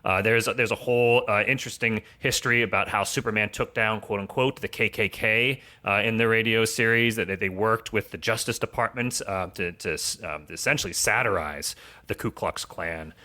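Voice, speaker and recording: A man with a bright, forward voice talks as a guest on a podcast. He explains things conversationally, laying out his commentary in measured phrases. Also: lowish voice.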